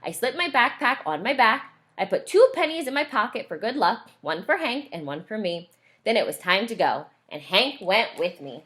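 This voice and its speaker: Bright, animated woman reading to children. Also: fairly high pitch, normal speed. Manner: questioning and explaining to young listeners. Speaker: teacher reading aloud to a young class. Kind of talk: expressive picture-book read-aloud with asides to children.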